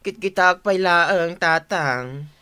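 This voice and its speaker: Fed up younger sibling in ilocano radio drama, ilocano accent, bright tense voice. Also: female voice, on the low side, slow, clear, resonant tone. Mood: uneasy. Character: younger sibling, exasperated housekeeper of a rural household.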